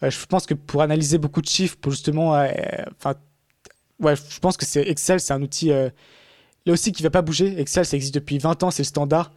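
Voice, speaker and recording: A man's voice: fairly high pitch, fairly even intonation, a little fast, darkish tone, dry recording, studio quality. A man talking casually in a podcast conversation, rambling with many fillers and restarts.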